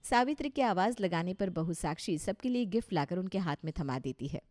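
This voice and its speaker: A woman's voice: on the low side, lively intonation, fairly quick, darkish tone. A woman reading scripted narration for a story as its narrator.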